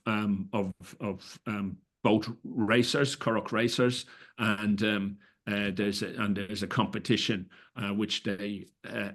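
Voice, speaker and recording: Irish festival director, middle-aged man, low-pitched, halting and searching for words. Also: a bit bright. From Ireland. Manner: hesitant, many fillers and restarts. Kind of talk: interview.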